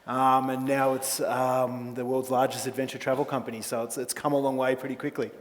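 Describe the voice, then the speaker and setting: A man's voice: medium pitch, little pitch movement, fairly quick. A man with an Australian accent giving an informal conference talk in a chatty, self-deprecating manner. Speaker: corporate communications manager and conference speaker. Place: conference hall, on stage. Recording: echoey large hall sound.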